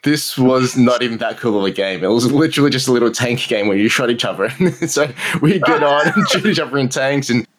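A man's voice: mid-range voice, expressive, fairly quick, few pauses, fairly bright tone. A man in his thirties with an Australian accent, a podcast guest telling his story in a candid, self-deprecating way and laughing often. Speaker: guest, marketing entrepreneur. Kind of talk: casual podcast interview.

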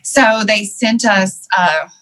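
A woman's voice: medium pitch, slow pace, a bit bright. A woman guest in an interview, telling her life story in a candid, reflective way with many "um"s and "you know"s. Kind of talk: candid personal storytelling in conversation.

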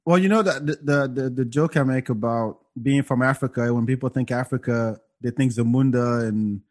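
A man who grew up in France, hosting a casual podcast and bantering with animated indignation. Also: medium pitch, moderate pace. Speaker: podcast host.

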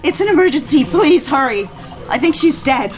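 An actress playing a frantic, devoted young secretary in an audio drama, pleading in halting, breathless phrases. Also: female voice, high voice, expressive. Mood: distraught.

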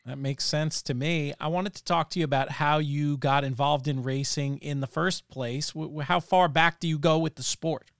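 A man's voice: fairly high pitch, fairly even intonation, normal speed, few pauses, a bit bright, no room sound, studio quality. A man hosting an interview, asking questions in a conversational way. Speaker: interviewer, host.

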